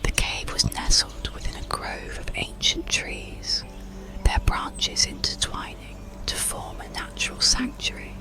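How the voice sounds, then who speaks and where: A storyteller narrating an ASMR bedtime story in a slow, soothing, hushed whisper. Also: a bass voice, creaky. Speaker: audiobook narrator.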